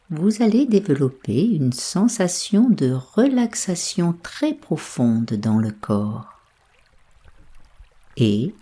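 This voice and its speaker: A woman with a soft, breathy voice leading a guided sleep meditation in a hushed, soothing, unhurried way. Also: deep voice. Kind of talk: slow guided yoga nidra sleep meditation.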